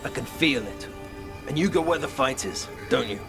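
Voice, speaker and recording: A man's voice: mid-range voice, normal speed, creaky, music in the background, close to an everyday voice. Man playing a resolute, battle-ready warden sentry. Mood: knowing, resolute. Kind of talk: dramatic video game acting.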